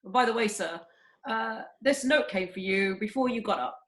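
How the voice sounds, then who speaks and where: A woman's voice: mid-range voice, moderate pace, fairly bright tone, close to an everyday voice. A woman playing a shifty, deferential male butler in a comic amateur radio play, formal and hesitant. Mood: formal, servile. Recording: roomy internet call recording.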